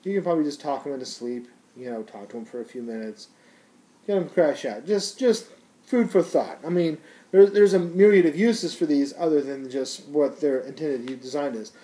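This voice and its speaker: A man's voice: fairly high pitch, moderate pace, mellow, low-quality recording. A man giving a casual, unscripted product review in a rambling, conversational, slangy way.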